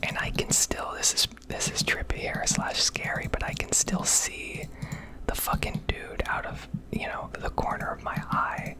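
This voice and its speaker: An American woman rambling candidly and casually in a breathy ASMR whisper, swearing now and then, recorded on a close microphone. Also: moderate pace, rough recording. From United States. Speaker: ASMR video creator.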